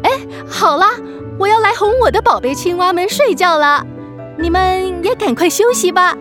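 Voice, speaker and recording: A woman's voice: extremely high pitch, moderate pace, music in the background. A woman voicing a kindly, gushing witch aunt who runs a circus, in a children's radio drama. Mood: fond, encouraging. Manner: gushing, chatty, full of exclamations. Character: kindly, bubbly witch aunt, frog-doting circus ringleader.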